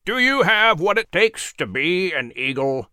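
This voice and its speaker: A man voicing a wise, stern adult eagle with a slow, commanding, challenging manner in a storybook. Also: high-pitched, big pitch swings, bright, forceful tone, no echo, clean studio sound, pitched above the performer's own voice, heavily acted. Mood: stern urging.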